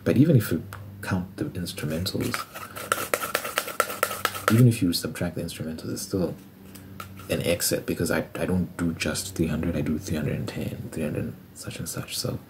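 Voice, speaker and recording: A man's voice: sits low, moderate pace, background noise. A man in his twenties chatting casually on an ASMR channel, musing aloud and doing sums as he talks to himself. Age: 20s. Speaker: ASMR creator and musician. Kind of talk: casual rambling ASMR chat.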